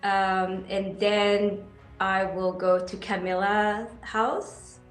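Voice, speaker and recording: A woman in her thirties who grew up in Sweden, talking eagerly in an interview with frequent ums and pauses. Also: mid-range voice, little pitch movement, echoey room, faint music behind, rough recording. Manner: enthusiastic, hesitant with many fillers. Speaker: interview guest, equestrian athlete. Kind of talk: casual interview answers.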